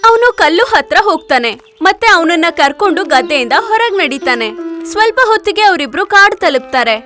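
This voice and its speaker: A woman's voice: very high voice, sing-song, moderate pace. A woman narrating a scripted children's story as a storyteller. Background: music.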